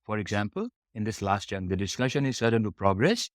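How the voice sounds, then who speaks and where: Man, lower-pitched, instructional, step-by-step explaining, emphatic repetition, dry recording with no room sound. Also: sing-song, brisk, no echo. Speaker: English test preparation instructor.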